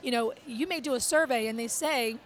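Hoarse, high-voiced woman guest. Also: a little fast, a little hiss or hum. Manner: animated, agreeable, lots of "right". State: hoarse, strained voice. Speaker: podcast guest, blogger. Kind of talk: casual conversation.

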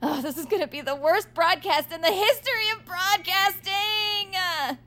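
An actress playing a nervous, apologetic, awkward female novice radio host who stammers and giggles through halting speech in a comedy audio drama. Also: extremely high pitch, sharp tone. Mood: panicked. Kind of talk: audio fiction comedy acting.

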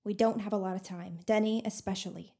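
A woman's voice: mid-range voice, fairly even intonation, fairly quick, dark tone, lightly acted. An actress playing a sardonic, bossy girl in an audio drama. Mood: curt, businesslike. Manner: teasing, curt.

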